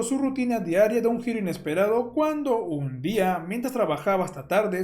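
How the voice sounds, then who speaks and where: A man's voice: high-pitched, darkish tone. A man reading scripted, documentary-style horror narration as the narrator. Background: music.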